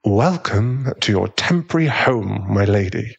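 A man with a British accent playing a tender, protective aristocratic werewolf fiancé in a soothing ASMR boyfriend roleplay, his voice husky and genteel. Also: on the low side, animated, unhurried, lo-fi sound, full character voice. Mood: playful, affectionate.

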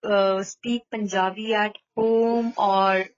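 A woman's voice: medium pitch, little pitch movement, slow pace, lo-fi sound. A woman podcast host, asking effusive, rambling questions in a casual interview.

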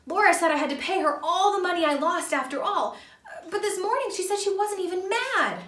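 A woman's voice: extremely high pitch, lively intonation, a little fast, fairly bright tone, reverberant, recorded from a distance, pitched above the performer's own voice. A woman voicing an anxious, flustered young male pea from a children's storybook. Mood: panicked. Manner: breathless, flustered, confessional. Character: Percy, sputtering anxious young male pea, later contrite.

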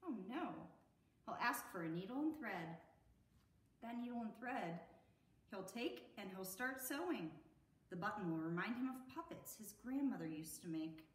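A middle-aged American woman reading a picture book aloud to children in an expressive, sing-song way. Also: mid-range voice, moderate pace. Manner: sing-song, expressive, addressing young listeners. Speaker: children's storytime host. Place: indoor room at an arts center. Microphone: camera a few metres away. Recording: roomy echo, distant camera mic.

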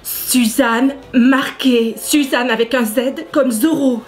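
A woman's voice: sits high, takes it slowly, soft background music. A woman playing a coy suspect stalling her interrogation, filmed in a dim office. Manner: coquettish, stalling. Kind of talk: stage actor in filmed interrogation scene.

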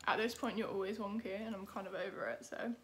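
A woman's voice: medium pitch, steady tone, fast talker, a little hiss or hum. A young British woman chatting casually to camera as a vlogger, informal and self-deprecating. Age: young adult. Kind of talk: casual vlog chat to camera.

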